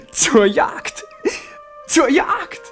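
A man's voice: extremely high pitch, wildly swooping pitch, very slow, bright, forceful tone, soft background music, full character voice. Wily young captive king, ingratiating, theatrical, swooping intonation, radio drama. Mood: eager delight. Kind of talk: 1970s German radio play acting.

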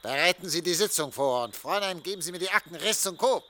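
An actor playing a weary, wistful middle-aged official lost in memories, dreamy and theatrical, in a German radio drama. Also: male voice, a little high, lively intonation, fast talker, sharp tone, a little hiss or hum. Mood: weary, agitated.

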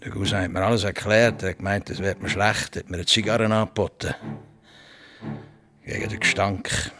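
An actor playing a blunt, terse rural farmer with a Swiss German accent in a radio drama. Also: male voice, on the low side, fairly even intonation, moderate pace, sharp tone, faint music behind. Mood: matter-of-fact recollection. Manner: terse, plain-spoken, unemotional. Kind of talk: naturalistic radio drama acting.

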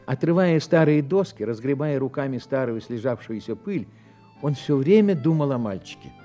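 A man's voice: medium pitch, lively intonation, normal speed, dark tone. A man giving scripted narration as the storyteller of a radio drama. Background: music with guitar.

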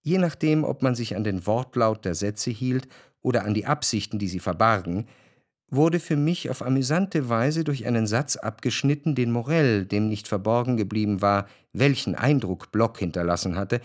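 A man narrating a literary radio drama in the first person, in a measured, reflective manner. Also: mid-range voice, animated, no echo, studio quality.

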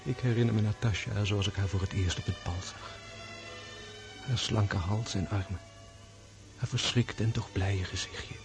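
An actor playing a gravely wounded nobleman in a radio drama, tender and sorrowful, musing in a hushed, introspective way. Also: lowish voice, fairly even intonation, over music, close to an everyday voice. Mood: tender, compassionate. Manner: slow, hushed, introspective monologue.

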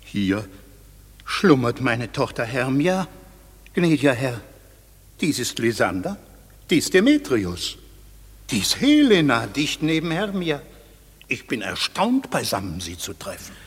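An actor playing a stern aging father and nobleman who is quick to anger, in a classic radio play. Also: mid-range voice, big pitch swings, husky, in a large hall, heavily acted. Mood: courtly. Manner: vehement, demanding punishment. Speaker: father of a young woman.

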